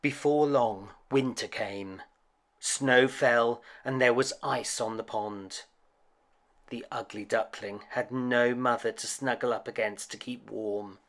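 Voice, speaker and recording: A man reading a children's picture book aloud as a storyteller, with an expressive, deliberate delivery. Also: mid-range voice. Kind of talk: scripted children's story narration.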